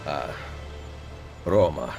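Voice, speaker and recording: An actor playing a courteous, determined middle-aged Italian hero in a historical video game, with an Italian accent. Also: male voice, very deep voice, very slow, heavily acted. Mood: weary. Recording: game music behind.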